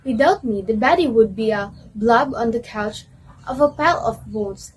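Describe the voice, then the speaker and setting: A woman's voice: on the high side, lively intonation, moderate pace, slightly rough, poor audio. A woman playing a proud muscular system that testifies and explains its importance in an amateur educational reader's theater play. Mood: boastful, explanatory.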